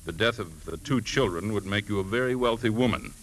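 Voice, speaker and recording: A man acting a blunt police lieutenant in a 1950s radio drama. His voice is low and forceful, and he fires clipped, probing questions. The old broadcast recording is clearly noisy. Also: lightly acted. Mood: pressing, accusing. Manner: brisk, pressing, clipped questioning.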